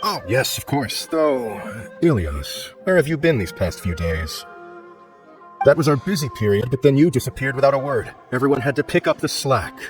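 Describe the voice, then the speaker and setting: A man's voice: mid-range voice, animated, moderate pace, faint music behind, lightly acted. An actor playing a formal, stern but fair male research office supervisor in a video game. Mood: stern, businesslike.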